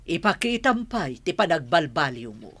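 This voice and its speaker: A woman's voice: low-pitched, sing-song, moderate pace, fairly bright tone, exaggerated voice. A woman acting as a middle-aged business-owning mother in an Ilocano radio drama, with an Ilocano accent. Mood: stern, scolding.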